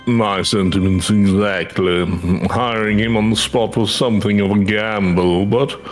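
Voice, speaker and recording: An actor voices a dignified older gentleman in a video game. His speech is formal, eloquent and measured, pitched well below an excitable youth, with faint music underneath. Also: natural voice. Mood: satisfied, cautious.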